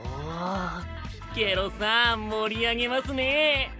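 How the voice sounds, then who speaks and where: Teasing anime drama knight. Courteous, theatrical, high-pitched and highly animated, slyly mock-sweet. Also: male voice, slow, music in the background. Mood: playful. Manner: courteous polite speech with mock-sweet melodrama. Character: polite, sly, theatrical young fantasy knight, teasing. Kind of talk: anime audio drama acting.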